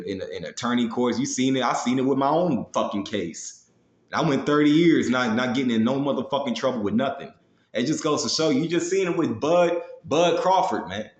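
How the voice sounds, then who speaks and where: Confrontational male preacher in an echoey room. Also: fairly low pitch. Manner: confrontational, profane; slangy, with frequent "man" and "you know" tags. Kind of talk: fiery street-style sermon with scripture read aloud.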